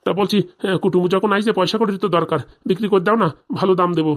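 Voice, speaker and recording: Man acting an old village cattle dealer, pushy, huffy, rapid speech, cartoon comedy. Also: sits high, fairly even intonation, lightly acted. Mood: wheedling. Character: pushy, easily offended old male livestock broker.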